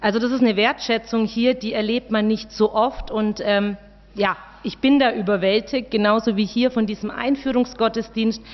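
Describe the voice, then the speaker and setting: A cheerful, friendly woman with a Swabian-Bavarian accent introduces herself at a service, her fairly high voice ringing through a large church. The recording is a little dull and has a long reverb. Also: steady tone, normal speed. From Augsburg area, Bavaria. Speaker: new daycare centre head. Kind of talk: short welcome speech.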